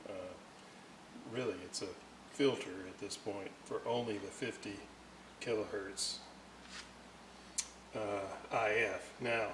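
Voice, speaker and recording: A man giving an unscripted, hands-on technical explanation in a methodical, halting way, often searching for words. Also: fairly low pitch, steady tone, noisy background. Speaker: radio restorer presenting repair videos.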